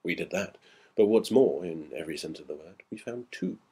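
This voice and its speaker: Man playing a grave, deep-voiced country house host. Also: animated, fairly quick, dark tone, husky, exaggerated voice. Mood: grave, matter-of-fact. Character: country house host, matter-of-fact man recounting grim discovery.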